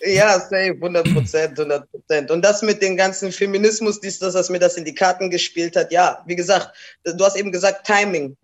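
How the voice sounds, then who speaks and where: A woman's voice: lowish voice, a little fast. Woman rapper, interview guest; laid-back, slangy, code-switches. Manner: relaxed, slangy, mixes in English phrases. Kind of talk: casual interview chat.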